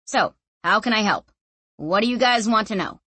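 A woman's voice: mid-range voice, animated, normal speed, bright, forceful tone, breathy edge, no echo. A woman voicing an ancient sentient object that talks through a young woman's body in a casual, slangy, breezy way. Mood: breezy, helpful. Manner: casual, slangy, matter-of-fact explaining.

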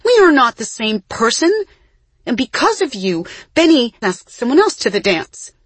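A woman's voice: lowish voice, expressive, brisk, husky, no room sound. A woman voicing a furious, hurt girl in an audiobook, accusing her sister with emphatic force. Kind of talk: audiobook character acting.

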